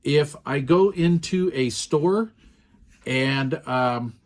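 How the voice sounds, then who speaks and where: A man's voice: on the high side, very slow. A man casually demonstrating and reviewing a small walkie-talkie in an easygoing, rambling way, with frequent ums and uhs. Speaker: radio product reviewer. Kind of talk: casual hands-on product demo.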